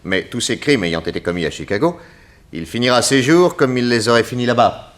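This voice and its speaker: An actor playing a suave, confident detective with a wry, teasing manner in a 1960s French radio drama. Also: male voice, medium pitch, animated, brisk, fairly bright tone, close to an everyday voice. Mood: assured. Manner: wry, assured.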